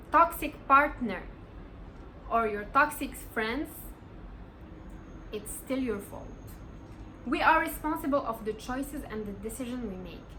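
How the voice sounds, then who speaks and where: A woman's voice: high-pitched, pushed and bright, slight background noise, poor audio. A woman in her twenties from Morocco, giving earnest, encouraging life advice in a casual vlog and stressing points by repeating them. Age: 20s. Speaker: vlogger.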